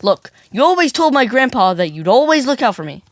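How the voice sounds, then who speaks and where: A man's voice: very high-pitched, big pitch swings, fairly quick, dry recording, studio quality. Man playing a snarky, questioning American boy. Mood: argumentative, dry. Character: snarky, literal-minded boy, endlessly questioning, stubborn. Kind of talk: audio drama comedy dialogue.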